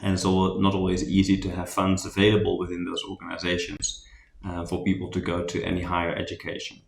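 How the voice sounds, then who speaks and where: A man from the Netherlands with a Dutch accent, giving a relaxed, rambling recap of a design workshop he presented, with many ums and restarts. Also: low-pitched, quick pace, vocal fry, echoey room.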